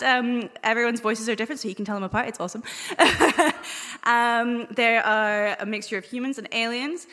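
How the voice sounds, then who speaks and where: A woman talking informally and excitably at a live event in a big indoor hall. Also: a little high. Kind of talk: informal live panel talk. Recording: very echoey hall with background noise.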